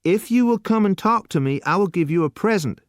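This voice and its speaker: A man voicing a naive, eager seventeen-year-old boy who exclaims with wide-eyed excitement. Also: sits high, brisk, dry recording, studio quality, voice pushed up. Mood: delighted.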